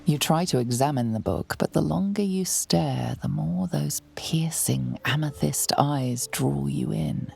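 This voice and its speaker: Ominous fantasy game narrator, really gravelly low voice, dark tone, soft music under. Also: female voice, measured pace, hardly any pauses, heavily acted. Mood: ominous, hushed. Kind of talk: scripted second-person game narration.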